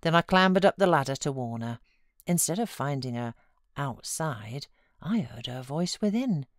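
Low-voiced British woman reading a classic novel. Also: expressive, moderate pace, no echo, clean studio sound. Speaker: audiobook narrator. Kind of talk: scripted narration of a classic novel.

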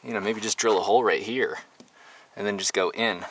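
A man in his thirties vlogging casually, informal and halting as he thinks aloud to his viewers. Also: fairly low pitch, fairly even intonation, a bit bright, slight background noise. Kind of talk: casual, rambling vlog chat to viewers. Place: inside a parked converted school bus.

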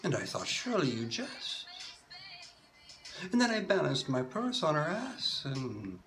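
A woman's voice: sits low, wildly swooping pitch, normal speed, a bit bright, faint music behind. A woman telling a comic story in a stagey put-on voice, with mock-formal phrasing, stammered repetitions and whispered asides. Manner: puts on a stagey persona accent.

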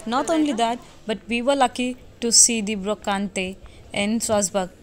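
A woman's voice: on the high side, measured pace. Woman doing a travel vlog voice-over, grew up in india, chatty with lots of uhs. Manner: chatty, hesitant with fillers. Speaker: travel vlogger. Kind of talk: voice-over narration.

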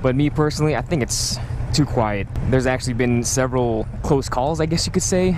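A man's voice: medium pitch, brisk. A young man riding a motorcycle and chatting casually into a helmet mic, rambling and correcting himself as he goes. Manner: rambling, self-correcting, nitpicking. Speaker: motovlogger. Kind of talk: casual ride-along commentary. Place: riding a motorcycle on city roads, outdoors. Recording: road and engine noise.

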